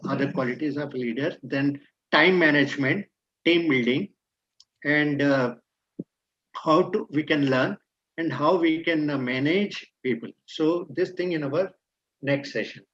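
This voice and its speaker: A man's voice: a little high, a little slow, fairly bright tone, highs cut off. A man whose first language is Hindi, speaking Indian English and mixing in Hindi, giving a motivational talk on leadership that states maxims and then explains them. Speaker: guest speaker.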